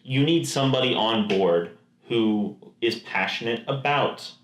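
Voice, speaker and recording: A man's voice: medium pitch, unhurried, a little hiss or hum. A man co-hosting a podcast, chatting casually and enthusiastically, often restarting his sentences. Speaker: podcast co-host. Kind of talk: casual chat. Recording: roomy echo.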